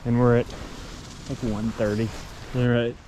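A man with an American accent narrating his fishing trip on a creek bank, recorded on a chest-mounted action camera, relaxed and chatty but excitable when a fish bites. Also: medium pitch, unhurried, slight background noise, rough recording. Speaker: fishing vlogger. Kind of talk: casual outdoor narration. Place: creek bank outdoors in winter.

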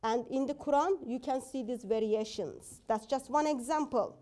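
High-voiced woman debating, non-native English. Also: normal speed, dark tone. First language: not English. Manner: assertive, challenging, non-native phrasing. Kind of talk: live debate rebuttal.

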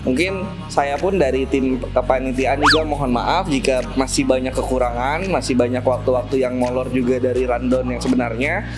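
A man's voice: medium pitch, moderate pace, over loud music. A young man, the tournament organizer, chatting casually in an interview with lots of fillers, indoors at a cafe. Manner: relaxed, chatty, many fillers and laughs. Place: cafe stage in front of a decorated wall, indoors.

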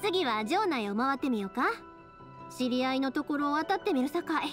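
An actress playing a cheerful, talkative young fireworks maker in an anime game, speaking with a Kansai accent. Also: female voice, high-pitched, a little fast, faint music behind. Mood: enthusiastic. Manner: talkative, friendly, animated. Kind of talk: anime video game voice acting.

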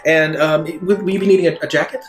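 A man acts out a deferential store clerk in a tabletop game, formal, gushing and stammering like a butler. His voice is fairly high, in a roomy space with faint music. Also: fairly even intonation, quick pace, lightly acted. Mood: flustered, servile.

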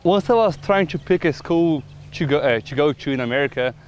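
A young man from Brazil, an international student, speaking relaxed and a little hesitant in an outdoor interview. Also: fairly high pitch, a little fast, slight background noise. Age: young adult. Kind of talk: casual interview testimonial. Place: outdoors on campus.

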